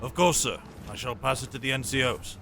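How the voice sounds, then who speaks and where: A man's voice: medium pitch, fairly quick, creaky, a bit bright, soft background music. A man playing a dutiful, dryly frank sergeant in a fantasy audio drama, with formal military address. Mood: dry, respectful.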